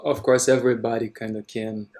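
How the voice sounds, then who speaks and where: A man's voice: medium pitch, little pitch movement, a little fast, a little mellow. A man from Brazil with a Brazilian accent, hosting an interview and carefully checking that he has understood his guest. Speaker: podcast host. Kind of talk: casual interview.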